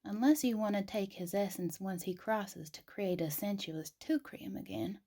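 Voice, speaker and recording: A woman's voice: mid-range voice, moderate pace, warm tone, lightly acted. A woman playing a firm, formal noblewoman.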